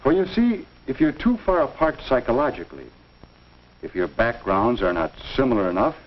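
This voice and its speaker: A genial, avuncular minister, played by a male actor gives earnest counsel in the manner of a 1950s instructional film. His mid-pitched voice rises and falls expressively, with measured, folksy phrasing. The soundtrack is dull and dated. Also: animated, lightly acted. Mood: explaining.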